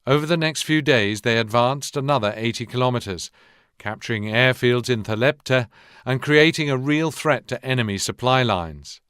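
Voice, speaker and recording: An actor playing a sober narrator of a war game, reading formal historical narration in a measured, serious way. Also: male voice, mid-range voice, a bit bright, smooth, dry recording, clean studio sound, close to an everyday voice. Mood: sober, grave. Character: sober male documentary-style narrator of a war campaign.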